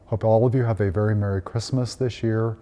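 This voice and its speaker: Priest in his fifties from Tennessee, mellow low voice, gentle reflective storyteller. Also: fairly even intonation. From Tennessee, United States. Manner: gentle and unhurried, frequent "uh" fillers. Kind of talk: reflective Christmas storytelling message.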